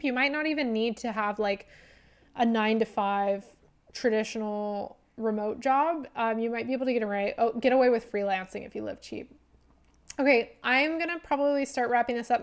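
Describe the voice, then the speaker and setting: A young woman hosting a casual livestream Q&A as a wildlife biologist, rambling with lots of "like" and "um", her voice going hoarse. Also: on the high side. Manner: rambling, heavy use of "like" and "um". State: losing her voice.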